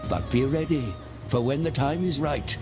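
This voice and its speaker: A man's voice: mid-range voice, expressive, normal speed, music in the background, close to an everyday voice. A man playing a grim, zealous adviser, speaking with grave, ominous deliberation in a dramatic game cutscene. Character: grim zealous male adviser narrating a war tale.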